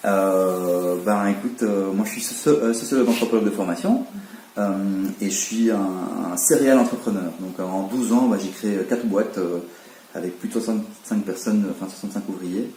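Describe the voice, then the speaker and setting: Man around forty, born in Belgium, low voice, mellow tone, echoey room, faint noise. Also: normal speed. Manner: hesitant, many fillers and restarts. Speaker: interviewee. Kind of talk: casual interview chat.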